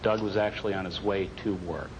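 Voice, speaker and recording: A man recalls events as an air traffic controller being interviewed. His voice is deep and the audio has clear background noise. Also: fairly even intonation, a little fast.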